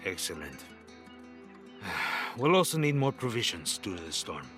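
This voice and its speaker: A man's voice: on the low side, sing-song, a bit bright, soft background music. A man playing a stern, weary and imperious pirate captain in an audio drama. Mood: curt. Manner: deliberate, imperious.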